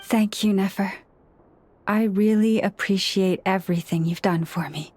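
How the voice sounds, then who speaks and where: Game casting: an actress as gentle young priestess, solemn and earnest, medium-pitched, soft measured delivery. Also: female voice, fairly even intonation, slight background noise, natural voice. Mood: warm, polite. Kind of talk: video game voice acting.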